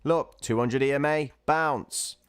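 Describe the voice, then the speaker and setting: A man's voice: medium pitch, slow, clear, resonant tone, no echo, clean studio sound, soft background music. A man hosting a livestream, casually explaining chart trading and chatting with viewers who comment. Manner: chatty, reads viewer chat, calls viewers guys and bro.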